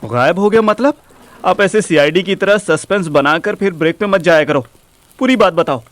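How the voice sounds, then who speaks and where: A man's voice: sits high, normal speed, faint music behind, natural voice. A man playing a curious, cheeky young son in an audio drama, slangy and easily flustered. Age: young adult. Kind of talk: Hindi audio drama acting.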